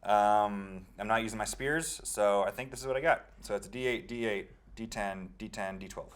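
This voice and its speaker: Low-voiced man playing a tabletop game. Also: normal speed, a little hiss or hum. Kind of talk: casual improvised description of play.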